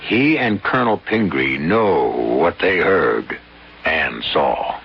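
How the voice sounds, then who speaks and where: A man hosting and narrating a radio mystery in a measured, formal, theatrical style. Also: sits low, expressive, creaky, slight background noise. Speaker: host and narrator. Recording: muffled vintage radio recording.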